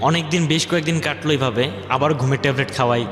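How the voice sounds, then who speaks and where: A man's voice: on the high side, fast, a bit bright. A man with a Bangladeshi accent tells a personal story as a guest, in informal, colloquial speech tinged with dialect. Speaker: guest telling his life story. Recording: echoey long reverb, music bed behind.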